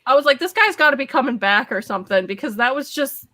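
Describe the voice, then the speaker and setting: A woman speaks in a casual live book chat with a bright, forward voice on the higher side. She is colloquial and often acts out reactions as part of her point. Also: animated, fast. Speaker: book discussion panellist.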